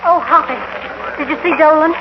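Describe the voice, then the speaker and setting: A woman's voice: sits high, steady tone, brisk, lightly acted. A woman acting an earnest, anxious young American ranch woman in a 1950s radio western. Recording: tinny, muffled, hissy old radio broadcast.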